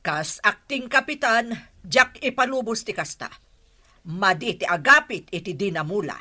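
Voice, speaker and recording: A woman's voice: mid-range voice, lively intonation, moderate pace, sharp tone. A woman with an Ilocano Filipino accent acting an earnest acting village captain in a radio comedy-drama. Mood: businesslike, indignant.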